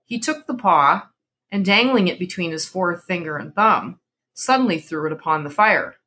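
A woman's voice: on the low side, lively intonation, normal speed, bright, forceful tone. A woman narrating a classic horror story aloud in a dramatic, scripted reading style.

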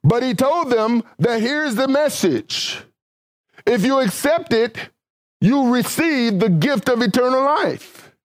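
Fervent high-voiced male preacher, dry sound. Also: lively intonation, takes it slowly, no room sound. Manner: fervent, rising and falling preaching cadence; casual, conversational phrasing. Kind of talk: sermon.

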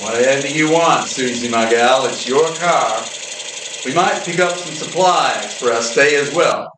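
An amateur actor playing a cocky, blundering supernatural detective in an old-time style radio play, breezy and wry. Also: male voice, medium pitch, unhurried, natural voice. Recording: echoey, distant, noisy with mechanical rattle.

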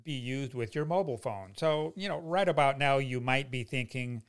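A man's voice: medium pitch, moderate pace, smooth. A man hosting a solo tech podcast in a semi-scripted, conversational way with folksy asides. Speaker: podcast host.

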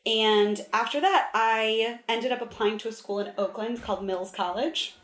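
A playful, chatty young woman from Southern California, talking as a guest on an interview show. Her voice sits a touch high, and the room around her is echoey. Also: moderate pace, fairly bright tone. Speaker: guest, Hillel director of engagement. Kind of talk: casual interview.

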